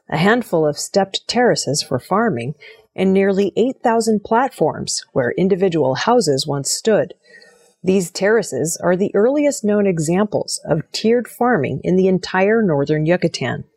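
A woman presenting scripted news in a measured, formal reading manner. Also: on the low side, vocal fry, darkish tone, breathy edge, dry recording.

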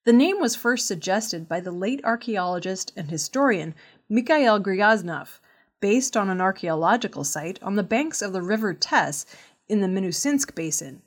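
A woman presents scripted news in a medium-pitched voice. Her delivery is measured, and she pronounces technical terms with care. It sounds like a prepared narration read by a news presenter. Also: animated. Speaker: news reader.

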